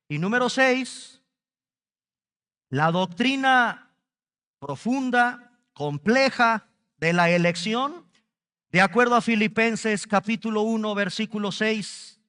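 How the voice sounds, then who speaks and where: A man's voice: sits high, pushed and bright, clear, resonant tone, in a large hall. A man with a Mexican Spanish accent preaching a sermon at a church service, stretching key words and speaking straight to the congregation. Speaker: pastor.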